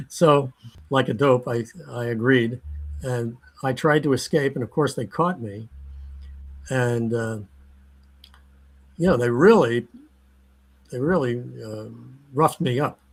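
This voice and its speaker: Halting older American man recalling the past. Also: mid-range voice. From United States. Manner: slow and halting, many fillers and restarts. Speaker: interviewee. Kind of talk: oral history interview.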